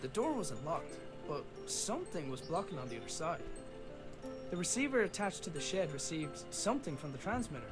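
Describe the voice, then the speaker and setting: A wry young-hearted father in a storybook adventure game. He narrates reflectively in a fairly high, lively voice, with music playing clearly behind him. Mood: wry, puzzled. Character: adult son and father, wry inventor's child searching. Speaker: voice actor in a video game. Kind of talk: scripted first-person game narration.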